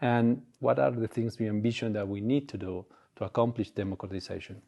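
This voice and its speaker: Mellow-voiced man asking a hesitant question. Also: on the low side, fairly even intonation, fairly quick, vocal fry. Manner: searching, hesitant, rephrases. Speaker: interviewer. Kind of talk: interview question.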